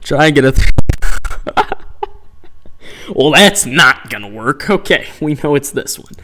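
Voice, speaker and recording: A man's voice: fairly high pitch, animated, slow pace, bright, forceful tone. A man live-streaming a dating game, reacting with sarcastic, incredulous commentary and reading options aloud.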